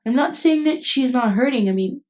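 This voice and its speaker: A woman's voice: sits high, animated, fairly quick, poor audio. A woman voice acting a caring, indignant best friend in an intimate ASMR roleplay, speaking casually and bluntly. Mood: exasperated, earnest. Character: protective, indignant female best friend comforting someone. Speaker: voice actress.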